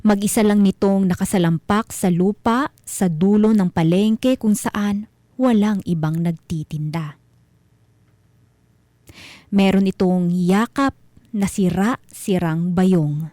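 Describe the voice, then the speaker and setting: A Filipino woman narrates eerie tales as a radio storyteller. Her voice is mellow and very dry in a close studio sound, and she takes her time with deliberate, dramatic phrasing. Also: medium pitch, dry recording. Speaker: host and narrator of a radio mystery show. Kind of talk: scripted horror storytelling.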